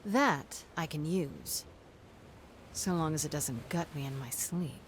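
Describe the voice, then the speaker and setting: An actress playing a tough, sardonic pirate captain in a video game, commanding and dryly threatening. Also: female voice, sits low, lively intonation, slow pace, a little hiss or hum, heavily acted. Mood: wry, calculating. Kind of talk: video game cutscene acting.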